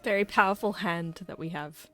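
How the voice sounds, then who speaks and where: A woman's voice: medium pitch, moderate pace. A young woman doing casual live gameplay commentary as a streamer, playful and joking with mock-dramatic asides. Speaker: video game streamer. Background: faint to clear game music.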